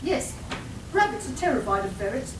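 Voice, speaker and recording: A woman playing a curious, breezily scheming adventurer scientist in a live radio play, explaining things briskly and matter-of-factly. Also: sing-song. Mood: confident, scheming. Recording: echoey hall, distant mic, noisy rumble.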